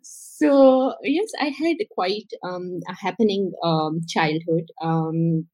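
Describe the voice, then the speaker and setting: A woman's voice: on the low side, slow, mellow, no echo, low-quality recording. A woman from Pakistan with a Pakistani English accent, a podcast guest telling her life story with many hesitations. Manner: hesitant, many ums and uhs. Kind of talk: casual interview storytelling.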